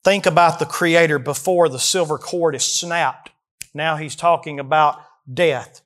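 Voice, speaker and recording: A man in his early forties delivers a sermon in a notably high voice with a Southern American accent. He is folksy and emphatic, setting out scripture and then explaining it with questions to his listeners. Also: measured pace, a bit bright. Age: early 40s. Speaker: preacher. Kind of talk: expository sermon, reading verses and explaining them.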